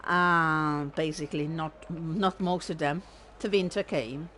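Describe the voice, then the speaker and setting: A woman with an Italian accent, casually commenting on a game stream in a sardonic, animated way and swearing freely. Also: sits low, measured pace, slight background noise. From Italy. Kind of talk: casual let's-play commentary.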